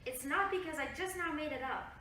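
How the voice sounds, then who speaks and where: A woman's voice: high-pitched, a little fast, pushed and bright, slight background noise. A woman playing an insistent little girl. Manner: childlike, insistent, defensive. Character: young girl, eager, fibbing, sulky. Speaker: actor in a staged reading. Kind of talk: virtual staged reading. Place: home room on a video call. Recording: echoey room, mic far off.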